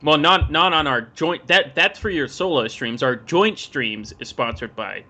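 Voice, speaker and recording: A man's voice: a little high, measured pace, bright, forceful tone. A man swearing freely and sounding sarcastic while co-hosting a stream reaction. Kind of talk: casual banter. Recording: dull lo-fi mic.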